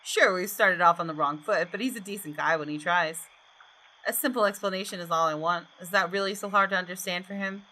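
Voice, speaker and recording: A woman's voice: lowish voice, brisk, bright, forceful tone, low-quality recording. A woman hosting a gaming video, reading the story narration aloud expressively with casual asides, close to the microphone.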